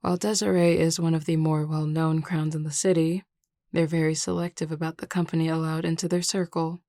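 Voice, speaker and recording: A woman playing a confident, reassuring werewolf pack beta in a scripted audio roleplay, speaking in a measured, explanatory way. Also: low voice, flat delivery, mellow, no room sound, studio quality. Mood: composed, explanatory.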